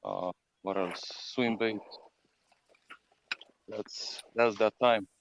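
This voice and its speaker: A man chatting casually about fishing, halting and filling gaps, with a playful streak. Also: fairly low pitch, fairly even intonation, creaky, a little hiss or hum. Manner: halting, full of fillers, playful. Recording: dull lo-fi audio.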